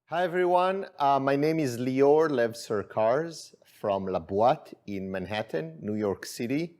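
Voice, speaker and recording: A man's voice: medium pitch, measured pace. A middle-aged man from Israel, chatting easily through a cooking demonstration in his kitchen, with plenty of fillers. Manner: relaxed, friendly, with many fillers. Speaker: chef and spice company owner.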